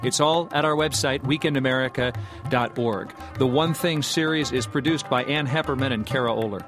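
A man reads a scripted radio outro smoothly and evenly at a middle pitch. Music plays clearly beneath his voice. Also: fairly quick. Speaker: radio host.

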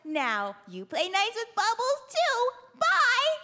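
A woman puts on a tiny, childlike, sugary character for a sketch. The voice is extremely high and bright, cooing with wild swoops. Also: huge pitch swings, slow, exaggerated voice. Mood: cooing, excited. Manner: cutesy, cooing. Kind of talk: comedy sketch acting.

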